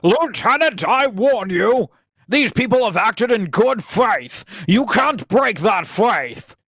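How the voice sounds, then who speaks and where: A man's voice: high voice, expressive, fairly bright tone, breathy edge, dry recording, exaggerated voice. A man playing an earnest, anguished idealist in an impassioned, theatrical radio play reading. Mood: alarmed. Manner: impassioned, dramatic, drawn-out delivery. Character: earnest, anguished male idealist pleading for peace.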